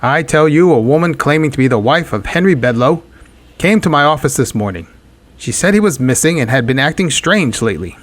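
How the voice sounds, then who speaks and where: An actor playing a hard-boiled, wisecracking private detective in 1940s radio drama style, with a wry deadpan delivery. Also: male voice, a little high, fairly quick, dry recording. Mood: defensive.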